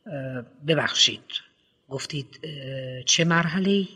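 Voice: medium pitch, sharp tone, husky. A reader voicing a guarded middle-aged father who answers politely and hesitantly. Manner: hesitant, polite, stumbling over fillers.

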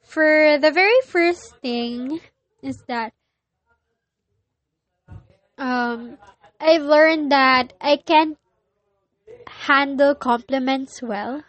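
A young woman with a Filipino accent, whose first language is Filipino, hosting a casual solo podcast and often pausing to search for words. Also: high voice, a bit bright, poor audio. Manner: hesitant, searching for words with many pauses. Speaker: podcast host.

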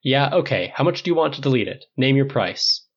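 A man voicing a brash, impatient rich man who talks fast and rambles defensively. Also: mid-range voice, animated, lo-fi sound, lightly acted. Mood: impatient rant.

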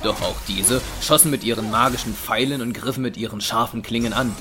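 A man narrating a scripted dramatic story in a measured, deliberate way. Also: mid-range voice. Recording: music under the voice.

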